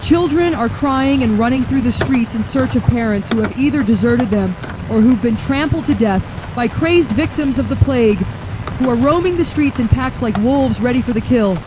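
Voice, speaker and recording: A woman's voice: fairly high pitch, lively intonation, normal speed, close to an everyday voice. A woman playing a distraught field reporter, giving a grave, formally worded live report in a radio horror drama. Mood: grave, shaken. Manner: grave, formal, florid narration. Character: distraught female field reporter amid horrific mass chaos. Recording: loud outdoor background noise.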